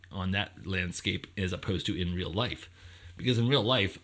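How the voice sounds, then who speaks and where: Low-pitched, slightly husky man lecturing informally. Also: fairly bright tone. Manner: conversational, thinking aloud, asks rhetorical questions. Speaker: professor lecturer.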